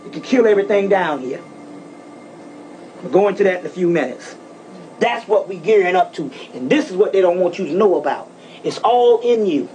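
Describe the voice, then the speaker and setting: A man giving a fiery, informal lecture to a live audience, preaching emphatically and repeating phrases, often with profanity. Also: high voice. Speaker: lecturer on esoteric teachings. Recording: distant live lecture recording, music over it. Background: music.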